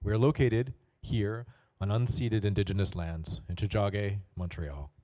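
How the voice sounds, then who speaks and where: A man hosting a university panel event, giving a measured, formal welcome. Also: lowish voice, warm tone. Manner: measured, announcing. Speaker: event host.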